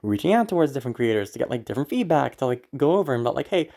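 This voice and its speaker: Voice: medium pitch, big pitch swings, very fast, vocal fry, warm tone. Congested, anxious, rambling content creator. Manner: anxious, apologetic, swearing, giggly. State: congested from being sick. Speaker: audio roleplay creator. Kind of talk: casual rambling talk to viewers.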